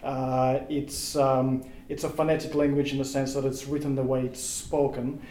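A man's voice: mid-range voice, little pitch movement, normal speed, warm tone, reverberant. A man with a Russian accent, whose first language is Russian, talking thoughtfully as a podcast guest and searching for his words with frequent restarts. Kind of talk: casual podcast interview.

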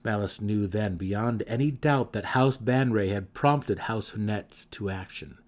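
A man giving a dramatic, theatrical reading of a fantasy novel as an audiobook narrator, with measured phrasing. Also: fairly low pitch, a little mellow.

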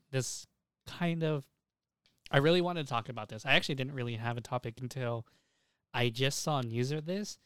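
A man's voice: mid-range voice, a bit bright, clean tone, no echo, clean studio sound. A young man hosting a casual podcast, enthusiastic and opinionated, with slangy talk and frequent swearing. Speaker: podcast host.

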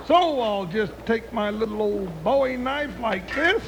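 An actor playing a jolly, excitable cowboy sidekick with a folksy rural twang in an old-time radio western. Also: male voice, unhurried, gravelly, full character voice. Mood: gleeful, scheming. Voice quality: high-pitched voice with rural twang. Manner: folksy drawling cowboy talk. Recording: noisy old radio recording.

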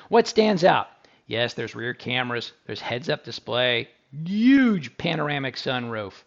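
A man's voice: high-pitched, vocal fry, highs cut off. A man hosting a car review podcast, talking casually and with enthusiasm, full of asides to his listeners.

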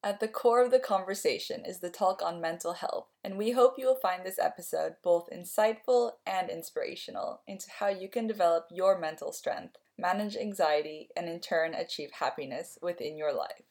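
A woman's voice: fairly low pitch, moderate pace, hardly any pauses, darkish tone. Woman podcast host reading the intro. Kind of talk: scripted intro and interview.

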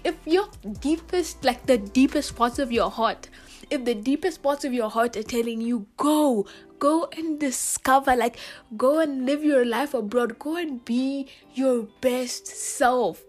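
Young Kenyan woman, earnest, chatty student vlogger, slightly high voice over soft music. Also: a little slow. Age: young adult. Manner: chatty, full of "like" and "you know", earnest. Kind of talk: casual reflective monologue to camera.

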